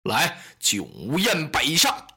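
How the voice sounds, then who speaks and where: A haughty warlord general declaims his orders in a low, stately voice, put on by a storyteller. The voice is husky and bright, with wide swings of pitch. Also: sing-song, slow, heavily acted. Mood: placating. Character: proud commanding warlord general.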